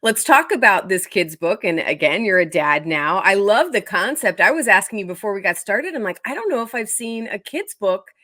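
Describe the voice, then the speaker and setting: A woman's voice: mid-range voice, sing-song, moderate pace, hardly any pauses, bright, forceful tone, no echo, clean studio sound. A woman talking casually as a talk show host in an interview.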